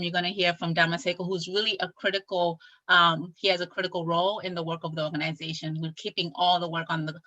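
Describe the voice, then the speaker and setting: A young woman hosting an online event, upbeat and animated as she teaches greetings over a video call. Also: medium pitch, no room sound. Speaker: executive director and event host. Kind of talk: enthusiastic online presentation. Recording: slightly dull lo-fi call audio.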